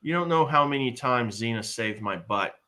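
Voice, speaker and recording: A man's voice: mid-range voice, animated, normal speed, bright, forceful tone. A man playing a blustering, self-doubting, earnest warrior sidekick in a live comedic table read of a TV script. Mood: regretful, ashamed.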